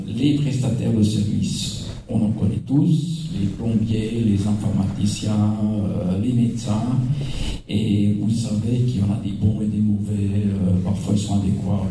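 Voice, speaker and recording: A man's voice: on the low side, dark tone. A man moderating a public panel discussion, hesitant, with many fillers and restarts. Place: large echoey hall. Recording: roomy far-off hall recording.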